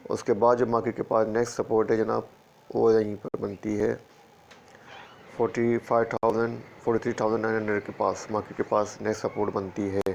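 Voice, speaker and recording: A man's voice: fairly low pitch, level tone, brisk, creaky, lo-fi sound, a little hiss or hum. A man with a sore throat, steadily walking through stock charts and repeating price levels as a market analyst. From Pakistan. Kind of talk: screen-share chart walkthrough.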